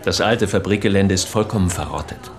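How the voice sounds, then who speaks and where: An actor playing a smug, insinuating hospital doctor with a smooth, sonorous voice in a radio crime drama. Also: male voice, sits low, brisk, close to an everyday voice. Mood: smug, knowing. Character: smug, oily male hospital doctor and scientist.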